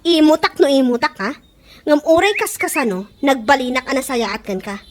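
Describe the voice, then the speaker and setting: A woman's voice: sits high, normal speed, close to an everyday voice. A woman playing a fed-up, indignant friend in an Ilocano comedy radio drama, speaking emphatically with an Ilocano accent. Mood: furious, scolding. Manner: emphatic, scolding.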